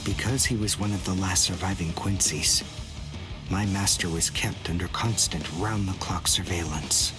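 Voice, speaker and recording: A man's voice: deep voice, normal speed, lightly acted. An actor playing a proud, brooding young man with a serious, controlled, bitter delivery in an anime game dub. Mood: bitter recounting. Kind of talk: English anime game dub, dramatic monologue. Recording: loud music behind the voice.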